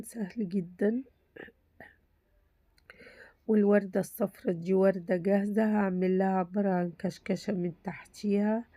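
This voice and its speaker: A woman with an Egyptian Arabic accent talks her viewers through a simple craft project, her voice hoarse from a cold. She keeps a mellow, level tone and keeps repeating how easy it all is. She is recorded close in a dry room with no echo. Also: medium pitch. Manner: chatty, reassuring, repeats "very easy". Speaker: crafts channel host. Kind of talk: casual craft tutorial.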